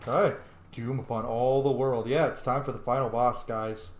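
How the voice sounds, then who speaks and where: A man doing casual, excitable gameplay commentary, informal and quick to swear. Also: mid-range voice. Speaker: let's play streamer. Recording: roomy echo.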